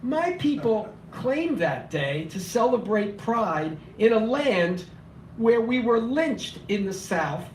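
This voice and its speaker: A man reading his spoken word poetry at a cafe open mic, impassioned and declamatory, his voice slightly hoarse and weak. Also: high-pitched, slight background noise. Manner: impassioned, declamatory, rhythmic repetition. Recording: echoey room, voice from far off.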